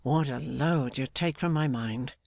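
A man voicing an earnest, gentle, grateful younger gentleman in an audiobook. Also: mid-range voice, a little slow, creaky, dry recording, studio quality. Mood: moved, grateful. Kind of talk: dramatised audiobook character.

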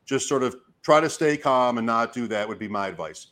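A man, hoarse from talking, hosting a casual fantasy sports show and commentating in short, fragmented phrases as he thinks out loud. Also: mid-range voice, lively intonation, fairly quick. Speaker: fantasy football show host giving draft advice. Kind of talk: casual talk, live draft commentary.

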